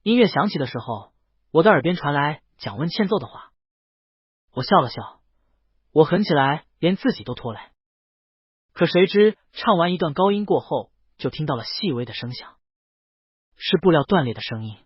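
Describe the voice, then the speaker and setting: A man's voice: a little high, big pitch swings, normal speed, pauses often, breathy edge, no room sound, dull audio. A man narrating a scripted first-person story as an audiobook.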